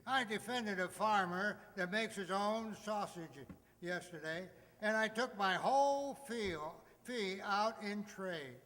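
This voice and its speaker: An actor playing a genial, teasing older judge with folksy delivery in a radio comedy. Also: male voice, high-pitched, takes it slowly, pushed and bright, full character voice. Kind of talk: old-time radio comedy acting. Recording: echoey hall stage recording.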